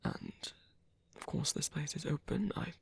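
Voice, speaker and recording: A man voicing a gentle, caring vampire priest in a soft, hesitant ASMR roleplay, his breathy voice often dropping to a whisper. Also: a little high, huge pitch swings, unhurried, no room sound, exaggerated voice. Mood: warm, reassuring. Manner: hesitant, reassuring, tender.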